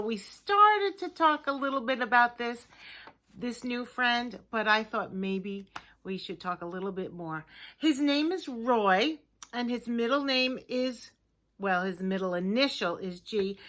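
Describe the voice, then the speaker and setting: A woman speaks as a teacher addressing young children on camera. Her voice is bright and forward, and she takes her time. She uses simple, gently encouraging phrasing with deliberate emphasis on key words. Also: a little high.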